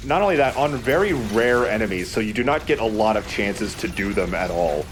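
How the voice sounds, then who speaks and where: A man's voice: on the low side, lively intonation, fairly quick, over music. A man hosting a let's play, chatting casually and explaining the game with dry sarcasm. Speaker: let's play host and player. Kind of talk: casual gaming commentary. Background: video game ambience.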